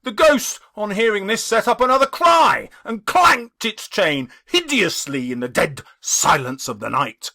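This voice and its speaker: Male audiobook narrator: emphatic, dramatic storytelling, crisp bright tone, close dry recording. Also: high voice, sing-song, measured pace, hardly any pauses, dry recording.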